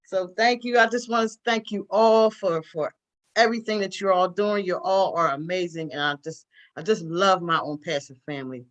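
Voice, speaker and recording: A woman's voice: lowish voice, moderate pace, dull audio. A middle-aged woman and grandmother on a video call, effusive and thankful. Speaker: meeting participant. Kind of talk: casual video call greeting.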